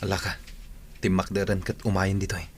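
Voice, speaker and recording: A man's voice: deep voice, level tone, fairly quick, close to an everyday voice. A man playing an earnest, put-upon office worker in a Filipino radio drama, with an Ilocano accent. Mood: defensive. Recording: noisy old radio recording.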